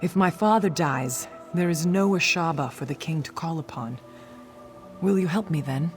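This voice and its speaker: A woman's voice: low voice, normal speed, faint music behind, lightly acted. Woman acting proud, resolute desert chief's daughter. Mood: grim, urgent. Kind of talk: video game character dialogue.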